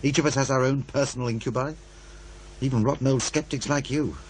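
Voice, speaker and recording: An actor playing a wry, needling radio producer who muses and insinuates in radio drama dialogue. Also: male voice, mid-range voice, steady tone, a little fast, darkish tone, a little hiss or hum, close to an everyday voice. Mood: musing, teasing.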